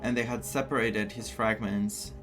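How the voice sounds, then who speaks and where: A man's voice: on the low side, fairly even intonation, normal speed, low-quality recording. A man from Greece with a Greek accent, giving casual, enthusiastic let's play commentary with reactive asides. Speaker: gaming content creator. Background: game music.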